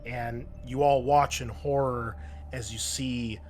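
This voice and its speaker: A man with a medium-pitched voice works as game master at a tabletop role-playing session. He narrates casually and places deliberate pauses for drama, with music clearly playing behind him. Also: steady tone, a little mellow.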